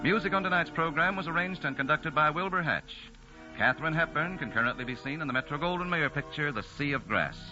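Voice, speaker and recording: A man's voice: fairly high pitch, expressive, fairly quick. A man announcing formally from a script in 1940s radio style. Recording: muffled vintage radio broadcast. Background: music.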